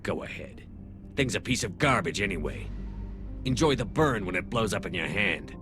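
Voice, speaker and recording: Guy doing a bitter alien soldier in a game, deep voice, sore loser sneer, music playing behind. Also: lively intonation, normal speed, natural voice. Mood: bitter, grudging. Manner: contemptuous, grudging. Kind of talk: video game voice acting.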